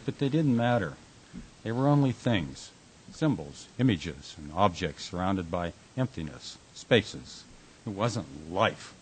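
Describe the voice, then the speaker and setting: A man's voice: fairly low pitch, a little hiss or hum, low-quality recording. A man acting a teasing, devoted husband and Navy veteran in a radio drama, wisecracking and plain-spoken.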